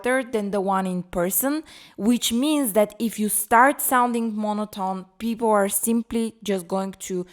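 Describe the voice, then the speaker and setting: A woman's voice: medium pitch, a little slow. A woman co-hosting a podcast, explaining presentation tips in a careful conversational way. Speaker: podcast co-host, presentation coach.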